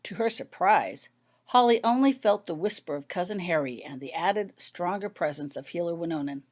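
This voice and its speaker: A woman's voice: lowish voice, expressive, moderate pace, darkish tone. A woman reading her own story aloud as scripted audiobook narration.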